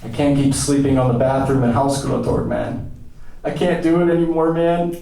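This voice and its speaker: A man's voice: medium pitch, little pitch movement, a little fast, creaky, warm tone, natural voice. A man voicing a deranged, grandiose and pleading American drifter in a dramatic story reading. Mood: desperate, pleading. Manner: pompous declarations, desperate insistence. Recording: echoey room, distant from mic.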